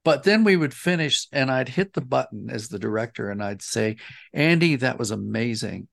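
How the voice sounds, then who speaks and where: A man with a medium-pitched, gravelly voice speaks as an interview guest. He is conversational and thoughtful, rephrasing as he explains. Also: lively intonation, moderate pace, fairly bright tone. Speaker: interview guest, audio drama writer and director.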